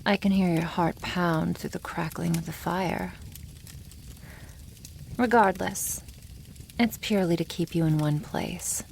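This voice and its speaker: A woman voicing a haughty, possessive female dragon in an ASMR roleplay, teasing and condescending, often dropping toward a breathy whisper. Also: lowish voice, measured pace, pauses often, darkish tone, a little hiss or hum, poor audio. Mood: calm, teasing.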